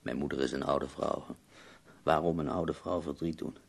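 An actor playing an ailing, melancholy but wry poet in a radio play, his voice gravelly, husky and weary. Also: rumbling low, fairly even intonation, moderate pace, full character voice. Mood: wistful.

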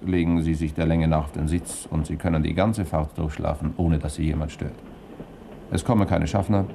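An actor playing a wry, flippant journalist with dry irony in a 1960s German radio crime drama. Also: male voice, extremely low pitch, creaky, dark tone. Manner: ironic, dryly humorous. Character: wry journalist, flippant amateur sleuth narrating his adventure. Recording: noisy old 1960s radio recording.

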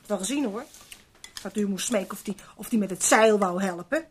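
Een vrouw die in een hoorspel een verontwaardigde, bazige huishoudster uit de volksklasse speelt, mopperend en aandringend. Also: female voice, medium pitch, brisk, breathy edge, lightly acted. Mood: suspicious nagging. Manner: scolding, insistent, grumbling. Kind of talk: Dutch radio play acting. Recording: old radio recording with faint noise.

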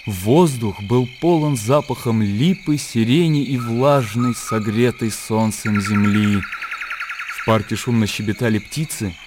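A man reading scripted literary narration for a radio play in a measured, descriptive storytelling manner. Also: medium pitch, music in the background. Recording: clear outdoor nature ambience behind.

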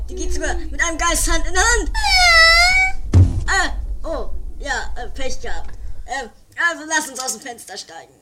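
A woman playing a brash, jittery, naively cheerful traveller in an improvised horror-comedy radio play, stammering and repeating words. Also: high voice. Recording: very noisy lo-fi home tape.

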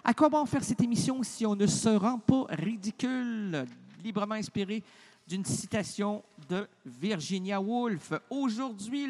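Québécois man, high voice, theatrical, playful radio host. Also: darkish tone. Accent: Québécois French. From Quebec. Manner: theatrical, playful, jumps between registers. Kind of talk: improvised surreal radio banter.

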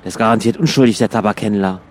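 A man's voice: fairly low pitch, fast talker, slight background noise. A man in improvised radio comedy chat, talking in a dry, sceptical way.

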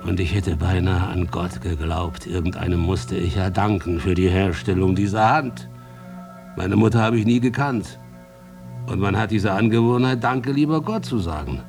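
A maimed soldier and former prisoner in a radio drama. His low voice creaks with gravel as he broods and stresses his words in a grim, theatrical monologue. Music plays clearly behind him. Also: moderate pace, darkish tone. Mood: wistful, reverent. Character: maimed soldier, ex-prisoner, grimly mourning his lost hand.

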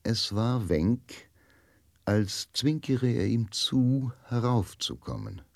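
A man narrating a radio drama from a literary script in measured, sober phrases. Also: medium pitch, sing-song, creaky, no room sound, studio quality.

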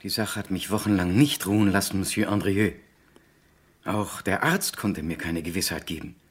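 A man's voice: low voice, normal speed, slightly rough. An actor playing a troubled, insistent accused man in a crime radio drama. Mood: troubled, subdued. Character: accused male driver Latour, troubled, insistent, indignant.

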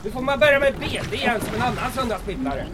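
A man's voice: extremely high pitch, lively intonation, over a noisy crowd. An actor playing a stubborn, grumbling fisherman in an audio drama, talking in a folksy colloquial way, oblivious to danger. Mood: grumbling, chatty. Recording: outdoor boat scene, busy background din.

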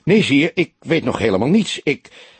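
Man voicing a nervous young detective, very husky. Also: mid-range voice, big pitch swings, moderate pace, dry recording, voice pushed up. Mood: anxious, eager. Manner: hesitant, stammering, apologetic. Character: young, nervous, pleading male police detective, in awe of his mentor.

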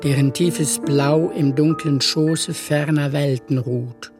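A woman reciting lyrical poetry with measured, solemn phrasing. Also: low-pitched, steady tone. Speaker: poetry reader. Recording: dry studio recording over music. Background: music.

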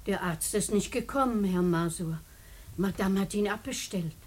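An actress playing a discreet, deferential housekeeper in a German radio drama, speaking in a hushed, husky voice. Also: female voice, mid-range voice, moderate pace, natural voice. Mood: hushed, cautioning. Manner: hushed, respectful. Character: deferential, discreet French housekeeper called Mother.

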